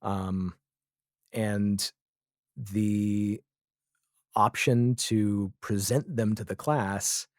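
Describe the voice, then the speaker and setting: A low, mellow male voice in his forties, recorded very dry. He chats as an interview guest, reflective and wry, in a casual conversational style. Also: fairly even intonation, extremely slow, pauses often, no echo. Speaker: interview guest, comedy and audio drama writer.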